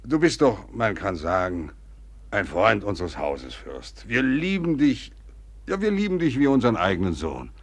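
A flustered, fatherly old general in a classic radio drama. His low voice rises and drops dramatically. He rambles, circles back and interjects as he confides. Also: big pitch swings, normal speed. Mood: agitated, confiding. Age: older. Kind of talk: German radio play acting.

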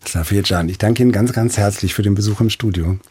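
A man's voice: fairly low pitch, quick pace. A man working as interviewer and host of a cultural radio programme, speaking in a studio.

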